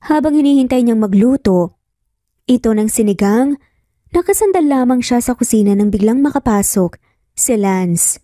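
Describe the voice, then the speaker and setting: A woman's voice: fairly high pitch, normal speed, pauses often, dark tone, no echo, clean studio sound. A Filipino woman reading a scripted romance story as a dramatic narrator.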